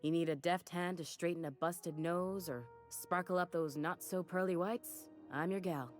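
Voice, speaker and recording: Folksy, low-voiced woman mechanic played by an actress. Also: steady tone, moderate pace, soft background music, natural voice. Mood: brisk, folksy. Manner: folksy slang, dry complaining. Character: folksy, brisk woman cosmetic mechanic aboard a space station. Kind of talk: video game character dialogue.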